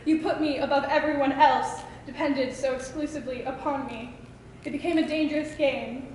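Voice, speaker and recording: A teenage woman reciting slam poetry with dramatic, deliberate phrasing in an echoing hall. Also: sits high, fairly even intonation, darkish tone. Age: teens. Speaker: student poet. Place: school open mic performance in a reverberant room. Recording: echoey hall with faint noise.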